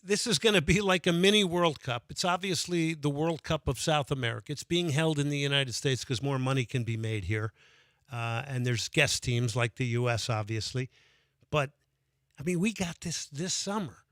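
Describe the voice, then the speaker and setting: A man's voice: mid-range voice, normal speed, a bit bright, dry recording, studio quality. A man hosting a radio sports call-in show, talking with enthusiasm and taking callers. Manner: enthusiastic, reads out phone number repeatedly.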